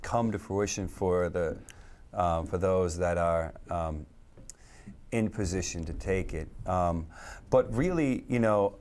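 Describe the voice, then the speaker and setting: Low-voiced man talking business. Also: slow pace. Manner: relaxed, businesslike, uses sports metaphors. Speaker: web design company partner. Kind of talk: casual interview talk.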